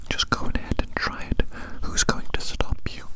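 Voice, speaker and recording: A soft, unvoiced whisper very close to the microphone, from a curious alien doctor played by a woman passing as human. The delivery is formal and gently peculiar, circling back over phrases in a soothing ASMR roleplay. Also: natural voice. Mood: gentle, musing. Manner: formal, gently odd, repeats phrases slowly.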